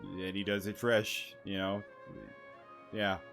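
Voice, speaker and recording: A man's voice: low-pitched, level tone, takes it slowly, fairly bright tone. A man giving casual, rambling gameplay commentary as a streamer, repeating words and swearing casually. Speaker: gaming streamer. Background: game music.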